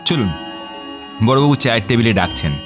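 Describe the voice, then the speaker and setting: Actor as polite middle-aged estate manager, music behind. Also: male voice, mid-range voice, expressive, a little fast, natural voice. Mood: courteous. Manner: polite, obliging, formal. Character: middle-aged, deferential, discreet male estate manager of a grand house. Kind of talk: radio audio drama acting.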